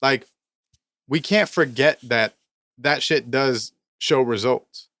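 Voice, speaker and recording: A man's voice: medium pitch, slow, fairly bright tone, dry recording, studio quality. A thirty-year-old man co-hosting a music business podcast in casual chat, ranting emphatically and swearing often. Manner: emphatic, profane, preachy motivational rants. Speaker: podcast co-host, rapper.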